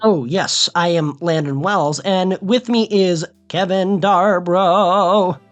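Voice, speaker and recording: A man's voice: high-pitched, slow pace, highs cut off, faint music behind. An American man hosting a podcast in a casual, chatty style. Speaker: podcast host. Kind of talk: casual chat.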